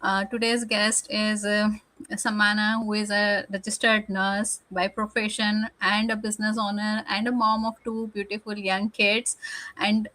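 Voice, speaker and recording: A woman hosting a live online interview over a video call, friendly and halting with fillers. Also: mid-range voice, little pitch movement, pushed and bright, low-quality recording. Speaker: host.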